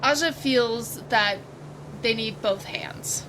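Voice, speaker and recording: Woman, tabletop player, slightly high pitch, unhurried casual talk, thinking aloud, clear background noise. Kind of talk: casual tabletop roleplay chat.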